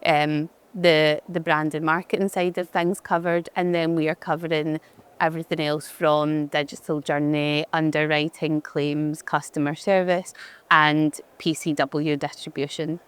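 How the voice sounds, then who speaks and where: A woman from Glasgow with a strong Glaswegian accent, her voice low and smooth. She talks in a chatty, easygoing way, often restarting her sentences, as a guest in a conference fireside chat. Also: flat delivery, a little slow. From Glasgow, Scotland. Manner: chatty, frequent restarts and fillers. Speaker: head of digital experience, conference guest.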